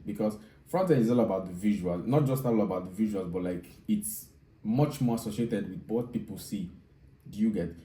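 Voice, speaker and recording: A man's voice: fairly low pitch, dark tone. A man in his thirties giving advice straight to viewers, speaking emphatically and repeating his key points. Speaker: video host, software developer. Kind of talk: direct-to-viewer advice monologue. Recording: roomy echo, distant mic.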